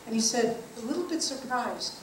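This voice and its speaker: A woman giving an earnest spiritual talk as a teacher, measured and slowing down to stress key phrases. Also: on the high side, animated, a little hiss or hum. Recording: echoey room from a distant mic.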